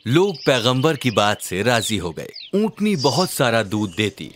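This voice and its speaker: A man narrating a scripted children's religious story in a measured, dramatic storyteller delivery. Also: medium pitch, very animated, background noise.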